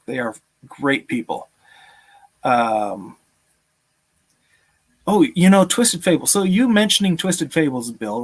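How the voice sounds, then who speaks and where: A man in his thirties hosting a live stream, chatting casually and answering viewer questions in a friendly, appreciative way. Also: mid-range voice. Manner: friendly, appreciative, chuckles often. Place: small room at home, indoors.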